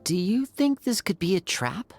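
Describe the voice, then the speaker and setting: A woman's voice: medium pitch, big pitch swings, normal speed, a little mellow, faint music behind. A woman playing a cautious, questioning female adventurer in a video game, with a skeptical manner. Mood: wary, questioning. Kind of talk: English video game voice acting.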